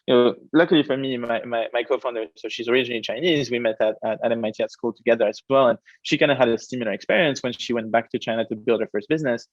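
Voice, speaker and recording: A young man from France talks fast and with animation, dropping in frequent fillers as he explains his startup. His voice sits mid-pitch. The sound is dull and lo-fi, and the room gives it a dry, close quality. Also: dry recording. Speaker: startup co-founder. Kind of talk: conversational interview answers.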